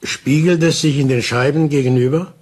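An actor playing a calm, insistent investigator who questions in a measured, reassuring way in a German crime radio play. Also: male voice, on the high side, slightly rough. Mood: reassuring, firm.

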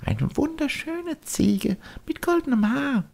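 Tiny cricket from a kids storybook, super high thin delicate voice, gently scolding. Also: male voice, animated, slow pace, creaky, full character voice. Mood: gently chiding.

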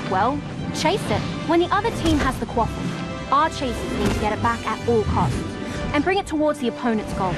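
A woman's voice: fairly high pitch, lightly acted. A woman playing an encouraging young player who coaches beginners through a scripted video game tutorial, speaking in an instructive way. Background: music.

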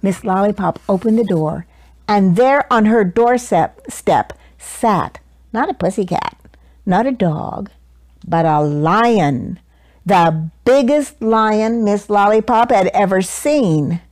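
A woman in her sixties reading a children's picture book aloud expressively, with deliberate, dramatic emphasis for young listeners. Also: medium pitch, lively intonation, a little mellow, dry recording, studio quality. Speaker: children's story reader. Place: small living room, indoors. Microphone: lapel mic.

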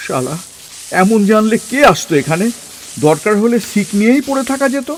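A man's voice: high voice, animated, moderate pace, a little hiss or hum. A man playing a weary, irritable government overseer in an audio story, grumbling and bossy in colloquial speech. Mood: irritated grumbling. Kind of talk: Bengali audio story dramatization.

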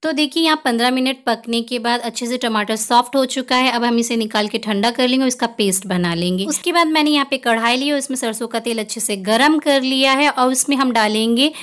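A woman's voice: on the high side, a little fast, hardly any pauses, fairly bright tone. A woman gives a step-by-step recipe voice-over, explaining each step plainly as a home cook.

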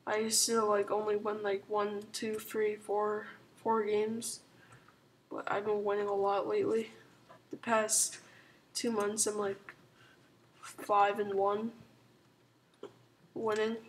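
A woman with a sore throat and a hoarse, sick voice, rambling softly through casual strategy game commentary with lots of ums and likes. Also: mid-range voice, completely flat delivery, takes it slowly, pauses often, a little hiss or hum. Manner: rambling, full of "like" and "um", soft-spoken. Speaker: gaming channel host.

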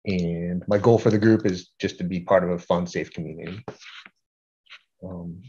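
A middle-aged man presents informally to a group in a fairly low voice. He speaks haltingly, often doubling back on words, with a self-deprecating manner. The sound is slightly dull and lo-fi, as on a video call. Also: steady tone, dark tone, husky. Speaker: art group organizer giving a talk. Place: indoor room at home, on a video call.

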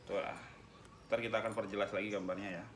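Young guy chatting thru a helmet review, lowish voice, echoey room, some noise behind. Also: level tone, fast, fairly bright tone. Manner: chatty, addresses viewers as guys. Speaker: motovlog host reviewing a helmet. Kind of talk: casual product review vlog. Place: small room with tiled wall, indoors. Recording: echoey room, noisy background, mic at a distance.

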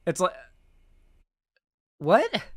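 A young man giving off-the-cuff political reaction commentary as a streamer, halting and repeating himself, sardonic and sweary. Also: a little mellow, dry recording, clean studio sound. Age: young adult. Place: indoor streaming room.

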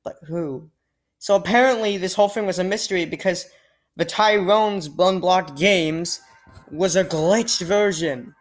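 A woman's voice: fairly low pitch, a little slow. A woman commentating on a game she is playing, in a casual, exasperated and sarcastic way, often sighing. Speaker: let's play gamer. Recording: faint game music behind.

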